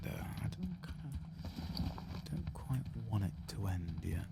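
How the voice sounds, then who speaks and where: A man doing a soft-spoken, often whispered ASMR game playthrough in a hushed, relaxed manner. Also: on the low side, unhurried, creaky, very noisy. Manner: hushed, relaxed, reading quest text aloud. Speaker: gameplay streamer.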